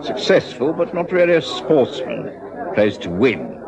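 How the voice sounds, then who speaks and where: A man playing a genial middle-aged English gentleman doctor and narrator in a radio drama, with a British accent. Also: medium pitch, very animated, a little slow, creaky, over a noisy crowd. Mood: disapproving. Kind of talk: radio drama acting and first-person narration. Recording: dull lo-fi radio recording, music behind.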